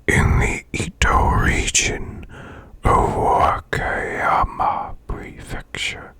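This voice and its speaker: A man voicing a male demon boss in an ASMR bedtime reading, with a breathy, rasping, growled whisper and slow, deliberate storytelling. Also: bright, forceful tone, no room sound, studio quality. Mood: hushed, soothing. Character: male demon boss, growling while lulling listener to sleep.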